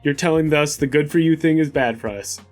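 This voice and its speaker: A man's voice: a little high, fairly quick, faint music behind. A man chatting casually as a player in a tabletop roleplaying game, working out his arguments. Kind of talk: casual chat, in-game discussion.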